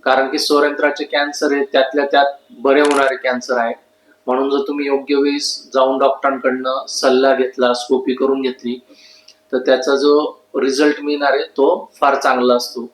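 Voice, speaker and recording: A man's voice: mid-range voice, level tone, a little fast. A man explains medical advice informally, in an instructive way that repeats key points. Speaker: ENT doctor giving health advice. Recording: echoey room.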